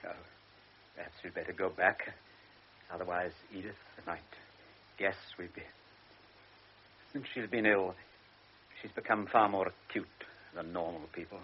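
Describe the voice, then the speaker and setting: An actor playing a frail, anxious elderly baron who is a devoted father. He speaks earnestly, stammers and drops into hushed tones. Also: low voice, steady tone, a little slow, pauses often. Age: older. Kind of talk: classic radio drama acting. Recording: lo-fi radio recording with faint hiss.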